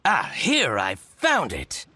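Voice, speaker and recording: An actor playing a spiteful, scheming middle-aged merchant who gloats and fawns in a game cutscene. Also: male voice, medium pitch, wildly swooping pitch, slow, bright, forceful tone, a little hiss or hum, exaggerated voice. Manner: gloating, theatrical, fawning. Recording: game cutscene with background music.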